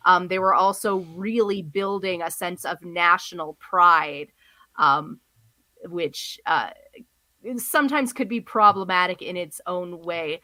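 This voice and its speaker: A woman co-hosting a casual podcast, with a bright, clear voice. She talks with many ums and likes, feeling her way through her points. Her voice is recorded close and dry. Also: on the low side, slow pace, no room sound. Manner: hesitant with many fillers, laughs easily. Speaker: podcast co-host. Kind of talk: casual discussion.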